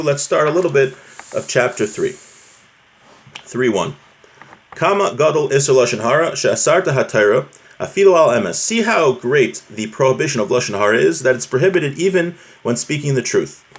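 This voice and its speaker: Male religion teacher, mid-pitched voice, informal and explanatory, walking listeners through a text and checking in as he goes. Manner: explanatory, with rhetorical check-ins.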